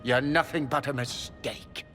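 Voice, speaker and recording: An actor playing a middle-aged, courtly and condescending magister, a scheming villain in a fantasy video game. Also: male voice, mid-range voice, fairly even intonation, a little slow, sharp tone. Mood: scornful. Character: haughty, scheming Tevinter magister, smooth-talking villainous father. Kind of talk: dramatic video game acting. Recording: long hall reverb, music behind.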